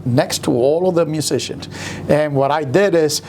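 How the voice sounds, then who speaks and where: A man from Rico, a musician telling his story in an interview with many pauses and fillers. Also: a little high, darkish tone, a little hiss or hum. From Puerto Rico. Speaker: guest musician.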